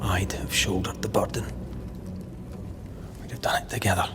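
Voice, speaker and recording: An actor playing a grieving, resolute Scottish ghost hunter in a dramatic video game. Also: male voice, sits low, steady tone, moderate pace, loud music behind the voice, close to an everyday voice. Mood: grieving, subdued.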